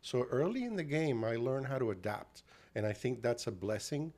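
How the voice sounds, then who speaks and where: A middle-aged man from Cuba speaks with a Cuban and Puerto Rican accent in a casual interview. He pauses often, trips on his words and restarts his sentences. His voice sits at an ordinary pitch for a man. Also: animated, darkish tone. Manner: halting, with frequent fillers and restarts. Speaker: guest.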